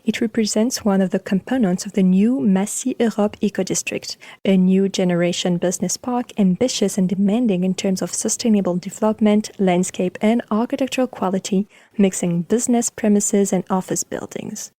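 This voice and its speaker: A woman podcast host reading scripted narration about architecture in a measured, formal way. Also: mid-range voice, warm tone, dry recording.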